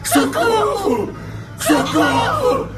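An anguished male ghost in a radio play, desperate and broken. His high voice swings wildly as he wails and pleads, over loud dramatic music. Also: wildly swooping pitch, very slow, recorded from a distance, exaggerated voice. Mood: frantic despair. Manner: wailing, pleading, theatrical.

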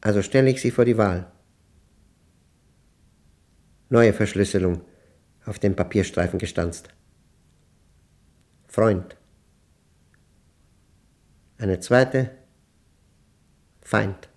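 An actor playing a brooding, obsessive mathematician who narrates his diary in a German radio play, speaking solemnly in deliberate, clipped fragments. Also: lowish voice, a little mellow. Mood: pensive, detached.

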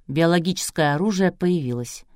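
A resolute man giving grave news, read by a woman in an audiobook. He is quietly confident, measured and firm, and the recording is close and dry. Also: on the low side, no room sound, natural voice.

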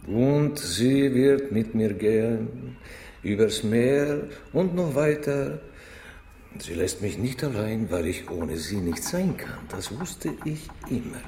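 Viennese scholar, mellow voice, polite, literal-minded, earnest, radio play, roomy echo. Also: male voice, medium pitch, expressive, slow pace, slight background noise. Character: earnest, naive, pedantic Viennese logician, fussy and polite. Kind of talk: radio drama acting.

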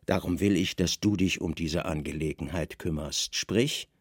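A man playing a brooding, commanding Roman governor in a radio play, speaking with measured authority and pointed emphasis. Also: deep voice, no echo, clean studio sound, pitched below the performer's own voice. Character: brooding Roman governor, commanding, wry, insistent on his hunches.

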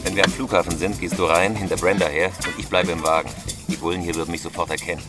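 An actor playing a cool, hard professional robber in a crime radio drama, terse and commanding. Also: male voice, deep voice, steady tone, fast talker, close to an everyday voice. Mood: controlled. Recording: music behind the voice.

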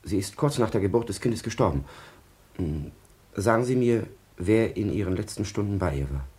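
A deep-voiced, secretive gentleman played by an actor from a 1950s radio play. He is guarded and curt, picking his words with hesitation like a man with a scheme. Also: lively intonation, moderate pace, lightly acted. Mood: probing, impatient. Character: secretive, scheming gentleman villain seeking information. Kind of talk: 1950s German radio drama acting.

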